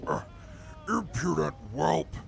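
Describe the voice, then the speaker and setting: Casting: actor as haughty wasteland crewman, pompous, slow, heavy vocal fry, radio drama. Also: fairly high pitch, huge pitch swings, heavily acted. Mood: indignant. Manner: pompous, formal wording. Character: haughty, disdainful, pompous male wasteland crew member. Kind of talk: audio drama acting.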